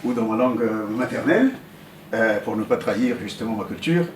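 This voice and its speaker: A man's voice: fairly low pitch, expressive, brisk. Impassioned Congolese man, distant and roomy. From Republic of the Congo. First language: Okutuba. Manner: hesitant fillers, then rises sharply when emphatic. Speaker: community member addressing a meeting. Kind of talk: impassioned public remarks. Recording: roomy sound from off-mic, faint hum.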